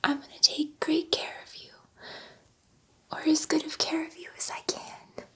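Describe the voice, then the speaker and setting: A woman voicing a gentle, excitable female alien scientist in an ASMR roleplay, soothing a human subject in a soft, breathy whisper and repeating words reassuringly. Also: sits high, moderate pace, close to an everyday voice.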